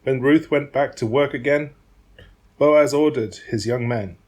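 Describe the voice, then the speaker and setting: A man's voice: medium pitch, measured pace, a little mellow. A man narrating a dramatised Bible reading from a script.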